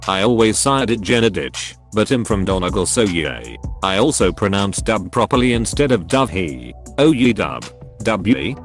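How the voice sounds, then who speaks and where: Animated male story narrator, music behind. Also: fairly low pitch, normal speed. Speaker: narrator reading online stories. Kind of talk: scripted narration of forum posts.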